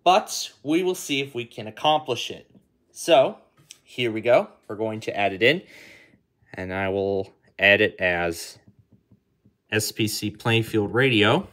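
A man's voice: lowish voice, animated, measured pace, fairly bright tone. A man giving a casual hands-on tutorial as its host, thinking aloud as he works through the steps indoors. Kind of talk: casual hands-on app demonstration.

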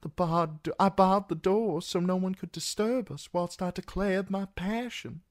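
A man voicing a broken, blinded young singer in an audiobook, pleading mournfully in a cracked, halting whisper of a voice. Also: high-pitched, voice pushed up.

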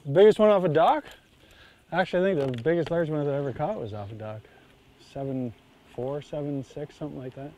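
A man's voice: a little high, brisk, a little mellow. Laid-back thirties male angler, casual on-boat chat, clip mic outdoors, faint noise behind. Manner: easygoing, chuckles, talks to the fish. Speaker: tournament bass angler. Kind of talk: casual on-water commentary. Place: outdoors on a bass boat on a lake.

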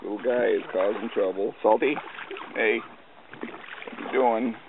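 A man's voice: on the low side, measured pace, vocal fry, narrow, tinny audio. A man narrating his own home video casually, in a laid-back, understated way, outdoors on a handheld camera. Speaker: amateur sailor filming home videos. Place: outdoors on a sailboat and lakeshore. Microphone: handheld camera microphone. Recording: wind-battered handheld camera, boat noise.

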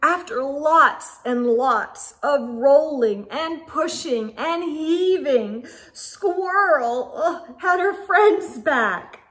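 A middle-aged woman reading a picture book aloud to young children, expressively, with dramatic pauses and exclaimed asides. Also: high voice, animated, fairly bright tone. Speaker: children's storytime host. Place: small indoor room with bookshelves.

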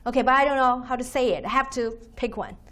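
Chinese-born woman lecturing, high voice. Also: fairly bright tone. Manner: frequent "okay", explains terms slowly. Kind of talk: university lecture with slides. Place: lecture room, standing at podium by projector screen.

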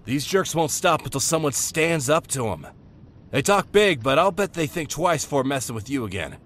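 A man's voice: a little high, expressive, moderate pace, fairly bright tone. A man voicing earnest young heroes in a dramatized comic dub. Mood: reassuring. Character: cocky street vigilante Casey, and gentle bewildered young turtle.

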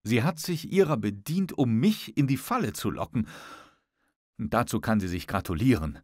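A man reading the part of a proud, smooth-talking young gallant in a formal, insistent audiobook manner. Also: mid-range voice, animated, normal speed, no room sound, studio quality, lightly acted. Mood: scornful, proud.

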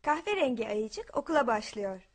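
A woman's voice: a little high, sing-song, moderate pace. A woman narrating a scripted children's story. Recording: music behind the voice.

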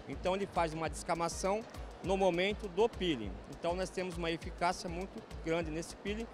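Instructive older Brazilian man, high-pitched. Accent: Brazilian Portuguese. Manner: instructive, steady, fond of "né". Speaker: technical consultant. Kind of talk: product explanation. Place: trade fair stand, indoors. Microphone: handheld mic held by reporter.

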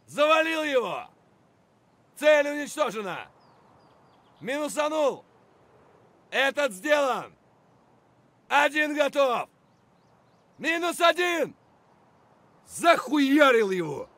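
An actor playing an aggressive, foul-mouthed mercenary in a military shooter game, giving short, punchy combat callouts. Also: male voice, extremely high pitch, fairly bright tone, dry recording, exaggerated voice. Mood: triumphant.

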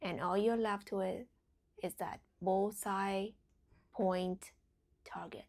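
A woman leading a guided meditation in a soothing, deliberate way, letting phrases trail into a breathy whisper. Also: mid-range voice, steady tone, darkish tone.

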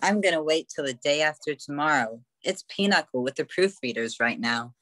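A man's voice: a little high, normal speed, sharp tone, low-quality recording. A young man playing a casual, card-playing newsboy in a radio play, offhand, on an earphone mic. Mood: offhand, cheeky. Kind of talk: old-time radio play acting. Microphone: earphone mic on video call.

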